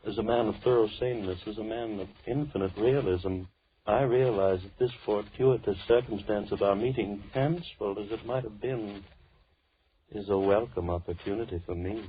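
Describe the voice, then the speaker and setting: Villain for vintage radio thriller: a lowish-voiced, courteous madman, measured and mannered, muffled recording. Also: husky. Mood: smugly polite. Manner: measured, mannered, politely menacing. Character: soft-spoken, menacing madman holding two girls captive. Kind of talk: old-time radio drama acting.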